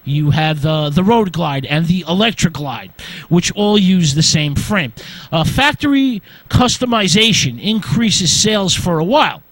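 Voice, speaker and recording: A man with a high-pitched voice presents an informal history lecture on the radio. He works through his notes in short phrases, often halting to say uh. The sound is close and dry, with very little room in it. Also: big pitch swings, fairly bright tone, dry recording. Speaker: radio host.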